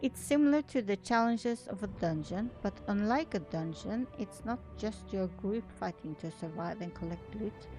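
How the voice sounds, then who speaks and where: A woman's voice: medium pitch, rough recording. A woman whose first language is not English, reading an article aloud with casual commentary as a video host, often stumbling over words and restarting. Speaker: gaming video creator and host.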